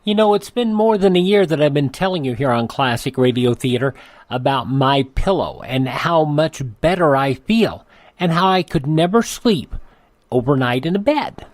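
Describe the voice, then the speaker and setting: A man's voice: fairly high pitch, expressive, moderate pace, dry recording. An older man hosting a radio show, announcing and reading ads in a folksy, rambling, conversational way.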